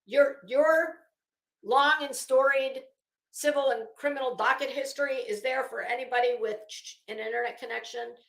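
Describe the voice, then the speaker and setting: Woman, highish voice, sarcastic, theatrical reading, snarky ad-libs, salty language, very roomy sound. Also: fairly even intonation, moderate pace, gravelly, fairly bright tone. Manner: sarcastic, brash, profane, theatrical. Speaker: YouTube commentary host. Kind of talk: dramatic reading with snarky ad-lib commentary. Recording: echoey room.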